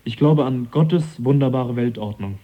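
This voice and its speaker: A polite young English gentleman scientist in a radio play, voiced by a man. His voice is very soft-edged and dark, slightly husky, at a middling pitch, and he chooses his words deliberately and with reserve. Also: natural voice. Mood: measured, earnest. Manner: measured, deliberate, understated. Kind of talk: radio drama dialogue.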